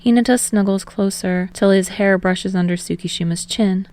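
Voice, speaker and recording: A woman's voice: mid-range voice, fairly even intonation, normal speed, darkish tone. A woman reading fiction aloud as scripted narration for a podfic.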